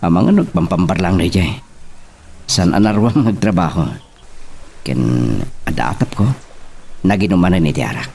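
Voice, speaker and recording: Actor as everyday man in an Ilocano romance serial, lowish pitch, slight background noise. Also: big pitch swings, normal speed, gravelly, pauses often, a little mellow, heavily acted. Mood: conversational. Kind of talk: Ilocano radio drama acting.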